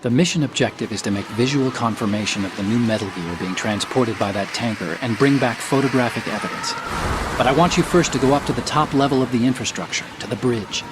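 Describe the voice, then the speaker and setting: An American actor playing a brainy, self-assured hacker in a video game, explaining things in an earnest, confident manner. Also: male voice, medium pitch, quick pace, lightly acted. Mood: briefing, instructive. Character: brainy, self-assured male tech-support partner and hacker. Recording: heavy rain and background noise.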